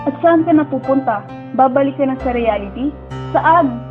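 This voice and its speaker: A woman's voice: high voice, lively intonation, normal speed, narrow, tinny audio, over music. A woman with a Filipino accent playing an emotional, pleading young woman who narrates and speaks in a tender, heartbroken radio drama. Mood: anxious, pleading. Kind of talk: dramatic first-person narration and dialogue.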